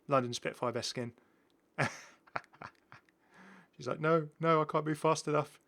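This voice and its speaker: A man with a bright, forward voice presents a casual gaming showcase. He is chatty and enthusiastic, reacting out loud and exclaiming as he goes, in the easy style of an online channel host. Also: fairly high pitch, steady tone, a little slow. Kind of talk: casual gameplay showcase commentary.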